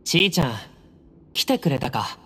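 Breezy young desert duelist, hall reverb. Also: male voice, fairly high pitch, very animated, normal speed, fairly bright tone, slight background noise. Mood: confident. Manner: casual, breezy. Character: easygoing, self-assured young male desert duelist. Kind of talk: anime-style game character acting. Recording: hall reverb, faint music behind.

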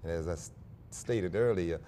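An older man, a pastor, speaking earnestly and at a measured pace in a studio interview on a lapel mic, with small restarts. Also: low-pitched, dark tone, slight background noise. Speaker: pastor and convention guest.

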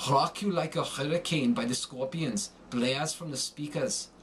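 A man giving a scripted lesson in a deliberate, theatrical way with emphatic enunciation. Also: mid-range voice, fairly bright tone, slight background noise. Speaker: accent coach. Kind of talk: scripted accent lesson with example monologue.

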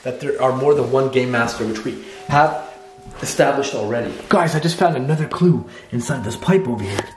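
A young man narrates his way through a dark abandoned house, keen and a little foul-mouthed as he speaks to his viewers. His voice sits mid-range, and the handheld camera picks up a steady layer of hiss and clicks. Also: mid-range voice, fairly quick, echoey room. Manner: excitable, swears, addresses viewers. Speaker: vlogger. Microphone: handheld camera mic.

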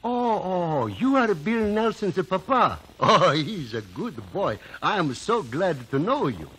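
An actor playing a kindly, earnest immigrant shopkeeper with an Italian accent and halting broken English, in 1950s radio drama style. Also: male voice, high-pitched, big pitch swings, fairly bright tone, slightly rough, full character voice. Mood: delighted. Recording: noisy vintage radio recording.

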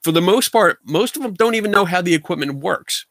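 A man's voice: sits high, fairly quick. A middle-aged man from the United States, a guest paranormal investigator talking bluntly and animatedly over a video call from his home. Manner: animated, gestures a lot, blunt and colloquial. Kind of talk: casual video call interview. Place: home room full of collectibles.

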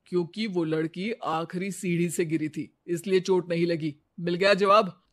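A man voicing a teasing, self-assured young husband in an animated story. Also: high-pitched, normal speed. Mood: challenging.

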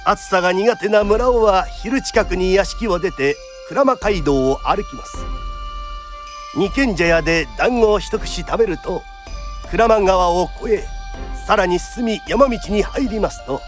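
High-pitched, animated traditional storyteller. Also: male voice, measured pace, soft background music. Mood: dramatic narration. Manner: declamatory, formal, dramatic. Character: lively traditional storyteller narrating a period tale. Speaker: narrator. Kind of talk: theatrical kodan storyteller narration.

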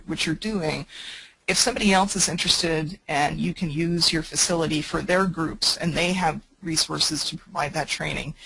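A woman's voice: sits low, creaky, fairly bright tone, husky, no room sound, poor audio. A woman presenting on a webinar call, speaking plainly and practically with many fillers.

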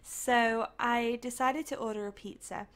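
Young UK woman YouTuber, croaky and tired, easygoing rambling chat, a touch high-pitched. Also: fairly even intonation, measured pace. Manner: rambling, chatty, with soft laughs. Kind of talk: casual voice-over chat over a game build.

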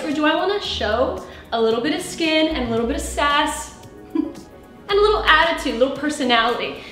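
A woman in her thirties doing a try-on haul, chatty and playful, moving and posing as she talks to a camera set back in a bright room at home. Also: on the high side, echoey room. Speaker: fashion vlogger.

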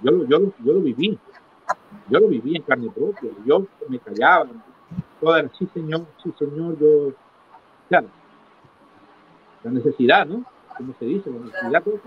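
A man's voice: on the high side, animated, moderate pace, pauses often. Middle-aged man on a tinny, noisy call. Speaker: interview guest. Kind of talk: recounting his life in a conversational interview. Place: small indoor room, video call. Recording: tinny phone-like call with clear noise.

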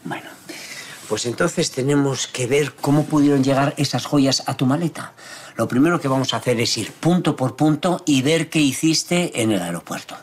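An actor in his sixties playing a patient, steady defense lawyer who speaks in a calm, measured, reassuring way. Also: mid-range voice, slightly rough, natural voice. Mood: businesslike. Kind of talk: television drama acting. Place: small office-like prison visiting room, indoors.

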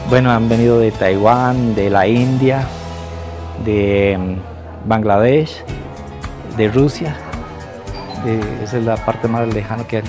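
A man's voice: lowish voice, takes it slowly, long pauses. A middle-aged man with a Costa Rican accent giving informal interview answers about his workplace. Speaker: restaurant staff interviewee. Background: background music.

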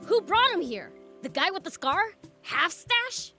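Bright, brash little demon prince. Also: female voice, extremely high pitch, sing-song, unhurried, soft background music, full character voice. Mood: excited, brash. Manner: brash, scrappy. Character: cocky, impatient young male demon prince. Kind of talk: animated video game cutscene acting.

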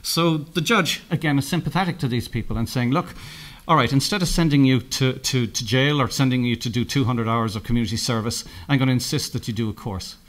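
A middle-aged man with an Irish accent speaks from a lectern in a conference room. He tells stories in a loose, anecdotal way, stopping often to restart and correct himself. His voice sits in an ordinary middle pitch. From Ireland. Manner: anecdotal, halting with many restarts and self-corrections. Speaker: keynote speaker. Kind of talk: conference keynote talk.

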